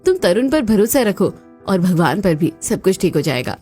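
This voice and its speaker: A woman's voice: medium pitch, sing-song, moderate pace, dark tone, soft background music, close to an everyday voice. A woman voicing a caring, reassuring young sister-in-law in a cartoon story.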